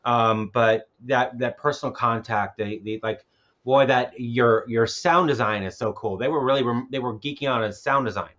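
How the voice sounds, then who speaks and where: Halting man talking in slightly dull audio. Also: medium pitch, fairly bright tone. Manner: rambling, halting, often repeats words. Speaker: filmmaker and film festival organizer. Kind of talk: casual panel discussion.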